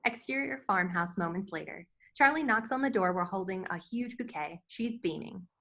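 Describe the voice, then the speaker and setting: Female narrator for script directions, bright, crisp edge with noticeable creak. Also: medium pitch, expressive, moderate pace. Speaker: stage directions reader.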